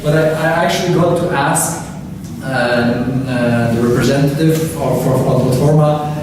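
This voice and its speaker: A man speaks in a panel debate in a middle-range voice. He stumbles and restarts as he hunts for the right words. He is picked up from far off in a booming, reverberant hall with steady background noise. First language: Polish. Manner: halting, repeats words while searching for phrasing. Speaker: party candidate in a debate.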